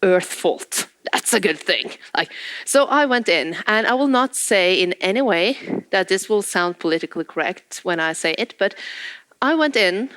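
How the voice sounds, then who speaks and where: A woman's voice: medium pitch, normal speed, no room sound. A woman in her thirties with a Norwegian accent, telling stories to an audience with laughs and acted-out quotes. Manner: lively, laughs between anecdotes, acts out quoted exchanges. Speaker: conference speaker. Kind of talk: storytelling talk to an audience.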